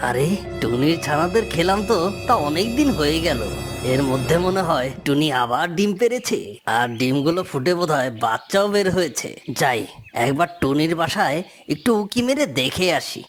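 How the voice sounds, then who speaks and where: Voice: mid-range voice, big pitch swings, normal speed, over music, close to an everyday voice. An actor voicing a greedy, mocking fox villain in a cartoon, with a taunting, bullying manner. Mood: scheming. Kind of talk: cartoon character dialogue.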